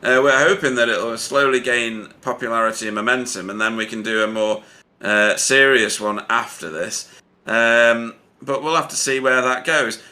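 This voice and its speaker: Bright-voiced man hosting a race interview. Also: medium pitch, fairly even intonation, moderate pace. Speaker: sim racing commentator and interviewer. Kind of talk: casual post-race interview.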